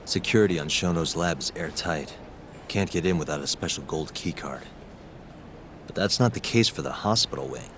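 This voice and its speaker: An actor playing a cool, determined private detective in an English video game dub, with a terse, dry delivery. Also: male voice, low-pitched, normal speed, background noise. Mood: calm, scheming.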